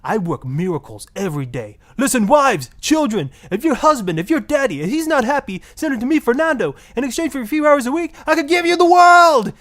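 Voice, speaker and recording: A man's voice: very high voice, big pitch swings, brisk, few pauses, a bit bright, voice pushed up, heavily acted. A man voicing a self-righteous, grandiose marriage guru with a put-on Latin accent on a parody radio talk show. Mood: indignant, proud, then frantic preaching. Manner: grandiose, preachy, easily offended.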